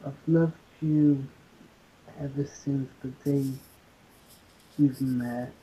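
A man playing an affectionate, tender boyfriend in an amateur ASMR roleplay, speaking haltingly. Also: medium pitch, fairly even intonation, dark tone, slightly rough, exaggerated voice. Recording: muffled, hissy lo-fi playback.

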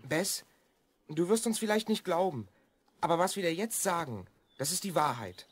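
A husky, youthful boy's voice in a children's radio play. He is a confident time traveller, eager and encouraging, with very animated intonation. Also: mid-range voice, normal speed. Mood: urging. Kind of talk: German children's audio drama acting.

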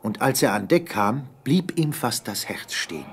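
Belegte männliche Erzählerstimme. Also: male voice, medium pitch, big pitch swings, moderate pace, husky. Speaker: narrator. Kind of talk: scripted narration of a German radio play.

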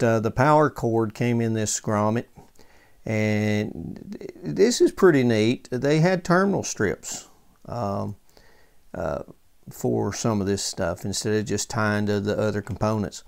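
A man presents a hands-on technical tutorial in a casual, unscripted way. His voice is clear and resonant with a good deal of creak at a medium pitch. He takes his time, haltingly thinking aloud as he goes. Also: a little mellow. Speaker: presenter of vintage radio repair tutorial. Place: indoors at a workbench.

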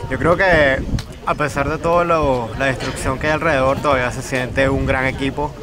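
A man's voice: mid-range voice, fairly even intonation, fast. A man in his twenties with a Venezuelan accent, giving a volunteer's interview testimonial. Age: 20s. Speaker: student volunteer. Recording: outdoors amid loud crowd.

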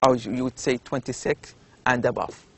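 A man with an Arabic accent giving a recorded university lecture, explaining slowly with many pauses and fillers. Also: mid-range voice, fairly even intonation, fairly bright tone, breathy edge. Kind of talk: recorded lecture over slides.

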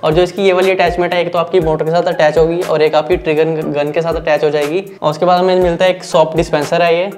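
A man's voice: on the high side, level tone, darkish tone, a little hiss or hum. A man casually explaining a product as he unboxes and demonstrates it for viewers. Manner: quick, plain explaining to viewers. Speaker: product reviewer. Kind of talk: casual unboxing and demo narration.

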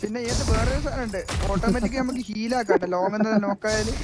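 Male squad gamer, high-pitched casual chat, noisy clicking game audio. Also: fast talker. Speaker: teammate in an online shooter game.

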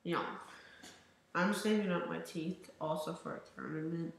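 A young man talks viewers through his game in a loose, rambling way, drawing his words out slowly. His voice is wearing thin, as if he is losing it. The recording rings with heavy room echo. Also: a little high, gravelly, slight background noise. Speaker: gaming channel host. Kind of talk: casual gameplay commentary. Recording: very echoey room.

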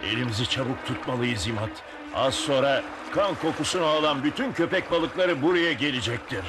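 A man's voice: mid-range voice, fairly quick, over music, natural voice. A man voicing a bold, resolute sea captain in a dubbed cartoon, with a commanding manner. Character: bold heroic bearded young sea captain.

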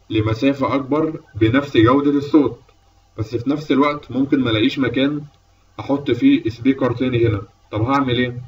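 Male instructor, Egyptian accent, low-toned, step-by-step lecture delivery, dull distant-mic recording. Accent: Egyptian Arabic. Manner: methodical, explaining step by step. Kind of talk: explanatory lecture over slides.

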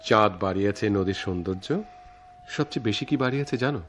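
Actor as deep-voiced romantic young poet, Bangladeshi. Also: male voice, quick pace, slight background noise, natural voice. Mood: adoring, dreamy. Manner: poetic, earnest, declamatory. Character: romantic, idealistic young male writer, devoted eloping lover. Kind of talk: theatrical radio drama acting.

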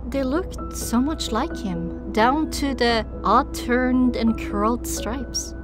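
Female audiobook narrator, higher register, dramatic scripted storytelling over a clear music bed. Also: slow.

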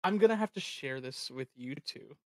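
A person chatting casually as host of a livestream, telling stories in an animated way and swearing freely. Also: medium pitch, normal speed, no echo, clean studio sound. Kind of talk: casual group chat on a livestream.

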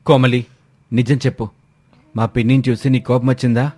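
A man's voice: medium pitch, little pitch movement, normal speed, warm tone, lightly acted. A man voicing an angry young husband in a dramatised moral story, demanding answers with disbelief. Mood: angry, doubting. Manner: demanding, incredulous. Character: angry, stern young husband questioning his wife.

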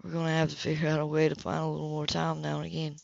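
A woman reading an audiobook, voicing an earnest, quiet, serious man in love. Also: sits low, completely flat delivery, racing, vocal fry, no room sound, voice pushed down. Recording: dull lo-fi home recording.

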